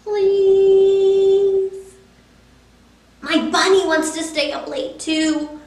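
A woman's voice: extremely high pitch, little pitch movement, drawn-out words, a little mellow, pitched above the performer's own voice, exaggerated voice. A woman voicing a stubborn cartoon pigeon that pleads and wheedles dramatically against bedtime in a children's picture book. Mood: pleading. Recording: roomy echo, distant microphone.

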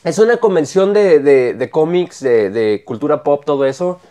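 A Mexican man in his thirties talks in loose podcast banter. His voice sits a little high, and he keeps restarting words and laughing between them. It is close and clean through a lapel mic in a small studio. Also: animated, normal speed, mellow. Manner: stumbles over words, repeats and laughs often. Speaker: podcast co-host. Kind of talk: casual chat. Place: small studio with brick-wall set. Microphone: clip-on lapel mic.

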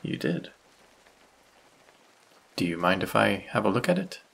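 A courteous, kindly male vampire, acted by a man, speaks in a husky voice at an unhurried pace. He is soft-spoken and solicitous, with a gentle teasing streak and a low register. It is an intimate audio roleplay, with rain sounding around him. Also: sing-song, full character voice, poor audio. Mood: gentle concern. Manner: soft-spoken, solicitous, politely old-fashioned. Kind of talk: intimate scripted audio roleplay addressed to listener. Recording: close voice with rain sound effects. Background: rain sound effects.

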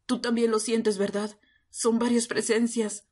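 A woman's voice: on the high side, little pitch movement, unhurried, a little mellow. A woman voicing a commanding vampire matriarch in an audio drama, grave, imperious and fiercely protective. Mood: urgent command. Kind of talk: Spanish-language ASMR roleplay audio drama.

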